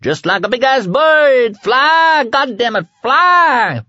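A man's voice: a little high, very animated, normal speed, fairly bright tone, husky, no echo, lo-fi sound, voice pushed up, full character voice. A man voicing a manic, swaggering young bully in an audiobook, cursing, boastful and explosively bad-tempered. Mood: wild triumph. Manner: screaming, cursing, boastful and patronizing.

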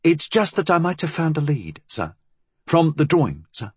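A man's voice: a little high, very animated, moderate pace, breathy edge, no echo, voice pushed up. A man voicing a nervous, deferential police inspector in an audiobook, hesitant and formally polite. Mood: uneasy, hesitant.

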